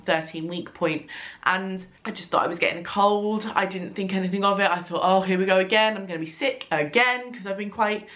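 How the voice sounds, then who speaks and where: A woman's voice: mid-range voice, fairly bright tone. A young woman with a blocked, nasal voice from congestion, chatting casually to her camera. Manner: chatty, rambling, laughs now and then. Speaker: vlogger. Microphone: compact camera.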